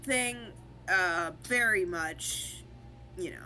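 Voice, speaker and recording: A woman's voice: on the low side, slow, sharp tone, faint music behind, low-quality recording. A woman streaming a game and commenting casually among friends, in a loose conversational way.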